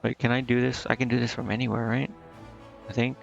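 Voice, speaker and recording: Creaky-voiced man muttering through a game. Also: mid-range voice, little pitch movement, fast talker, highs cut off. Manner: muttering to himself, indecisive, slangy. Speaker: game streamer. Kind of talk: casual live gameplay commentary, thinking aloud. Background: game music.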